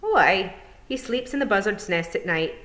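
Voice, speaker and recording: A woman's voice: medium pitch, animated, normal speed, fairly bright tone, pitched above the performer's own voice, lightly acted. A woman voicing a cheerful, deferential young male pixie with a Scottish accent in a storybook reading. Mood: cheerful, matter-of-fact. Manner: dialect-heavy, addresses listener respectfully as mistress.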